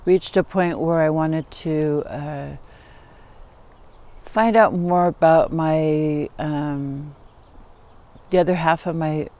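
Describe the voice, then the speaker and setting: Halting woman in her sixties, slower pace. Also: sits low, mellow. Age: 60s. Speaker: documentary interviewee. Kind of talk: unscripted personal recollection. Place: outdoors in a backyard.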